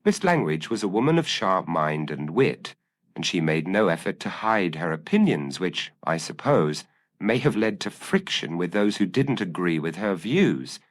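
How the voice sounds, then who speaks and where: A man's voice: deep voice, sing-song, moderate pace. A man voicing an earnest, anxious village constable who speaks formally and uneasily in an audio mystery. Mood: uneasy, grave.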